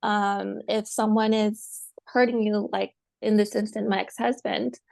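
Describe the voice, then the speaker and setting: A woman from the United States telling her personal story as a guest, speaking softly and haltingly with many pauses. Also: medium pitch, little pitch movement, gravelly. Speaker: guest, survivor. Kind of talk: personal testimony.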